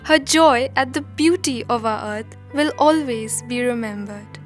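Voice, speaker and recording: A woman narrating a scripted documentary drama in a measured, solemn storytelling manner. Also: a little high, soft background music.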